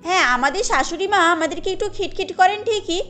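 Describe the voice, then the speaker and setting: Lady doing a modern young cartoon bride, high bright voice, outspoken but polite. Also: fairly quick, soft background music. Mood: protesting. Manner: explaining, protesting politely. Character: modern, outspoken young married daughter-in-law. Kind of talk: cartoon voice acting.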